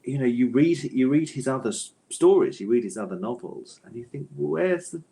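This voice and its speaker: A man's voice: mid-range voice, expressive, brisk, creaky, warm tone. A man chatting as an author guest in a literary interview, feeling his way through thoughts in a hesitant, digressive manner. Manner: hesitant, digressive, thoughtful phrasing with repeated tag questions. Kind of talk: casual literary interview chat.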